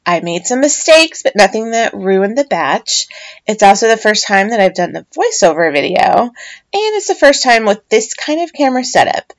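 A middle-aged woman talks through a home project in a casual voiceover. Her voice sits at an ordinary middle pitch, and her chatty, self-deprecating manner comes with small fillers and restarts. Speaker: home soap maker.

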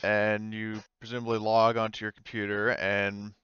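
A man with a lowish, smooth voice acts as game master in a casual tabletop session. He narrates haltingly, thinking aloud as he goes. The sound is dry and slightly dulled, like a voice chat. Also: completely flat delivery, fairly bright tone, no room sound, lo-fi sound.

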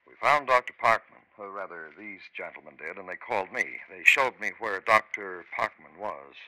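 A man acting a grave, restrained police officer, measured and formal, in a 1950s radio drama. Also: fairly low pitch, pushed and bright, no room sound, poor audio. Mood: grave, firm. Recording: vintage 1950s radio recording.

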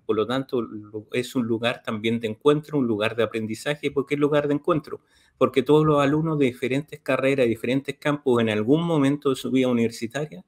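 A middle-aged man with a Chilean Spanish accent, explaining services step by step on a video call, with many "eh" fillers. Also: medium pitch. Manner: steady, detailed, with frequent "eh" fillers. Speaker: university library official. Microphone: video call webcam.

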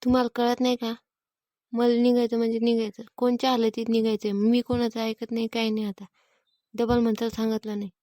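Woman playing defiant daughter-in-law, high voice, dry sound, stubborn and argumentative, rural Maharashtrian accent, cartoon family comedy. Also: little pitch movement, fairly quick, dark tone, dry recording, lightly acted. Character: stubborn, fed-up village daughter-in-law, married fifteen years. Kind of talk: animated family comedy acting.

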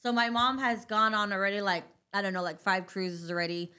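Woman, 30s, Southwestern US accent, bright voice, casual livestream co-host, studio boom mic. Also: fairly even intonation, fairly quick, smooth. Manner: chatty, laughs often, reads out viewer comments. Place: small studio with a desk. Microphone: studio mic on a boom, headphones.

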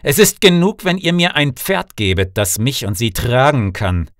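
A man's voice: mid-range voice, very animated, moderate pace, fairly bright tone, dry recording, clean studio sound, voice pushed up. A man voicing an evil, commanding sorcerer in a fairy-tale audiobook, speaking curtly and emphatically. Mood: brisk, insistent. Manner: imperious, emphatic. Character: evil male sorcerer, commanding, curt, menacing husband.

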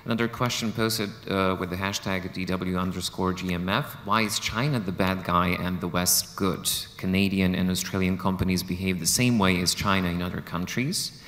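A man reading out audience comments from social media to a panel in a conference hall, in a steady reading voice. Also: sits low, normal speed. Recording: long hall reverb.

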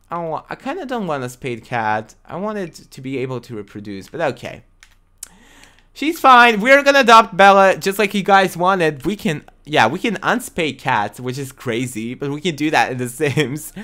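A young man with English as a second language, chatting casually to viewers while streaming a life-simulation game from a small room at home. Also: mid-range voice. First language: not English. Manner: chatty, excitable, talks to chat viewers. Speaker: livestream host. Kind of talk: casual gaming livestream chat.

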